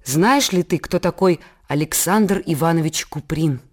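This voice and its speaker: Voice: medium pitch, unhurried. A radio presenter telling a history to a child, patient and explaining, asking teaching questions. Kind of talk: scripted educational narration.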